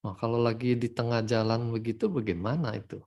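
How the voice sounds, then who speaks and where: A man's voice: medium pitch, fairly even intonation, brisk, vocal fry, darkish tone, muffled recording. A man with an Indonesian accent hosting a conversational interview, asking follow-up questions and restating answers. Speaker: host of a testimonial livestream, addressed as doctor.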